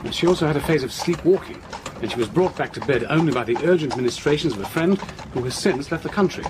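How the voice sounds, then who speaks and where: An actor playing a caring young Victorian gentleman doctor in an audio drama, speaking formally and earnestly. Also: a little high, animated, quick pace, noisy background, lightly acted. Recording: faint background ambience.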